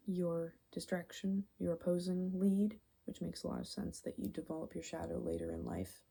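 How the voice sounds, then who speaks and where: A woman with a soft, mellow voice that crackles heavily with vocal fry. She talks in a casual ASMR style, drifting into breathy whispers as she meanders through her thoughts. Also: fairly low pitch. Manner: musing, meandering, halting mid-thought. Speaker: ASMR video creator. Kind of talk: ASMR, rambling casual personal explanation.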